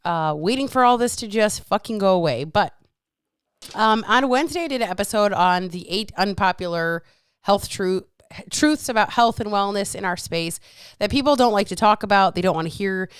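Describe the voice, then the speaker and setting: A woman's voice: medium pitch, lively intonation, moderate pace, clean tone, no room sound, clean studio sound. A woman hosting her own podcast as a fitness coach, ranting bluntly with lots of swearing, a little congested with a lingering cough. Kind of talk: casual solo podcast rant.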